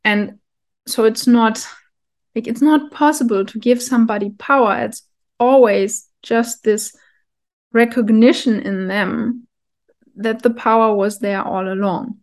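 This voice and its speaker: Woman, kinda high voice, talks real slow and thoughtful, little soft laughs. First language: German. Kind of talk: reflective solo talk.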